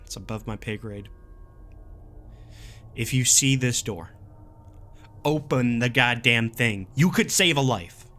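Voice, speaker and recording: A man playing a jaded, gruff, exasperated wanderer recording a survival warning, in a sardonic and emphatic dramatic horror reading. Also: medium pitch, sharp tone, a little hiss or hum. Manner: sardonic, emphatic, colloquial grumbling.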